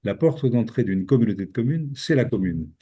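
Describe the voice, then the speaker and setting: A man's voice: medium pitch, animated, a little fast, mellow, slightly rough, dull audio. An older man from France speaking as a mayor, giving his opinions in an earnest, explanatory way as if answering an interview.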